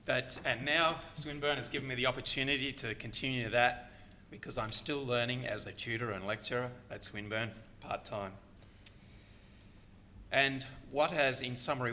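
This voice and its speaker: An older man giving a prepared talk on a conference panel, earnest and reflective. His voice is bright and forceful, at an ordinary pitch for a man. A faint hum of room noise sits under him. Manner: earnest, reflective, quotes other speakers. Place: conference hall.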